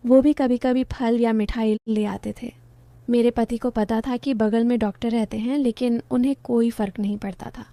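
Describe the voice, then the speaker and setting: A woman narrating a first-person story in a steady storytelling tone. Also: fairly high pitch, no room sound.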